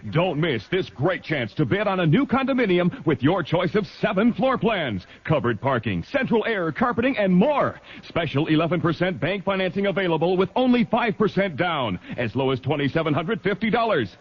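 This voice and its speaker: A man's voice: high-pitched, very animated, moderate pace, breathy edge. A man reading a hard-sell vintage radio commercial as its announcer. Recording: muffled old radio broadcast.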